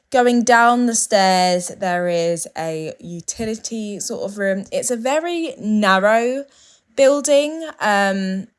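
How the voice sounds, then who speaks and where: Chatty young woman vlogging. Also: mid-range voice. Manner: chatty, candid, emphatic. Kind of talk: casual vlog narration. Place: small home room with tapestry.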